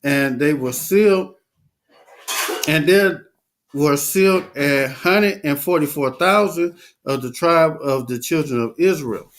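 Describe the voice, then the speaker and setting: A man reading scripture aloud and preaching in a casual talk, stumbling, repeating words and filling gaps with "uh". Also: on the high side. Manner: halting, many repeats and fillers.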